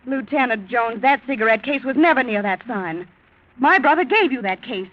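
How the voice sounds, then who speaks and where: A woman's voice: on the high side, expressive, normal speed, close to an everyday voice. An actress playing a spunky young American woman, defiant and emphatic, in 1940s radio style. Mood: scornful, accusing. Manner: emphatic, scolding. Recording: muffled 1940s radio recording.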